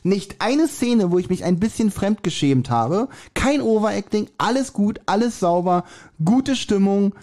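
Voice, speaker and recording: Man, fairly high-pitched, casual podcast co-host, colloquial, opinionated. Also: big pitch swings, a little slow, a little mellow. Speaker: podcast co-host reviewing audio dramas.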